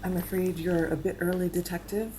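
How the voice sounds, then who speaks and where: An actress playing a clinical, dry-humored medical examiner briefing a detective. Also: female voice, lowish voice, flat delivery, a little fast, noisy background, lightly acted. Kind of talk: audio drama acting.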